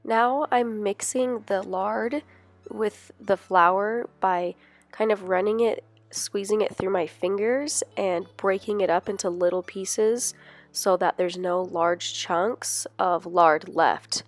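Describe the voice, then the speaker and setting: A woman with a cold, her voice a bit nasal, calmly talks through each step of a recipe. Her pitch is mid-range, and soft music plays faintly underneath. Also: slow. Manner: relaxed, instructional. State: sick, a little nasal. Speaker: cooking vlogger. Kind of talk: step-by-step cooking voice-over.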